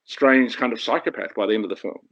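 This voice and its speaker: A man talking fast and opinionated as a podcast guest, with lots of restarts and asides. The audio is dull and lo-fi, like an online call. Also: medium pitch, fairly bright tone. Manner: rambling, opinionated, quick. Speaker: guest filmmaker. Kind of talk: podcast discussion.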